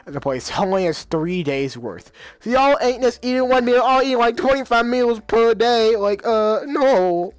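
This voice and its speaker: A man's voice: very high-pitched, lively intonation, measured pace, hardly any pauses, clean tone, dry recording, faint music behind. A man playing a visual novel, reading the dialogue aloud in his own voice and rambling in casual slang between lines. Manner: casual slang, many fillers. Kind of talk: let's play reading and commentary.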